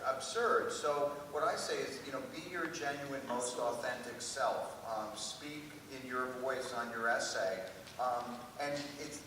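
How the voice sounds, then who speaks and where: A man speaking informally as an admissions officer in a panel discussion, in a conversational, anecdotal way. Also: mid-range voice. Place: large room at a public panel event. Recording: echoey hall from far off, noisy.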